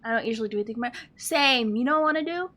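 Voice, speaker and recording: Female game livestreamer: very bright, fairly high, informal chatty delivery over soft music. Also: very fast. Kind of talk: casual live stream chat. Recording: stream with game music behind.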